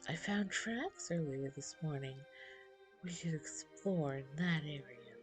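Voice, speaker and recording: A woman voicing a sweet, cheerful and confident young priestess in a story, with a gentle, polite, perky manner. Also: low-pitched, slow, soft background music. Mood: perky, inviting. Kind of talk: storybook character voice.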